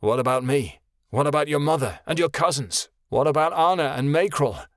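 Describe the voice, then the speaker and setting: A man's voice: a little high, normal speed, no echo, clean studio sound, voice pushed up, close to an everyday voice. A man voicing a middle-aged father who speaks sternly and sounds hurt. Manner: harsh then pleading.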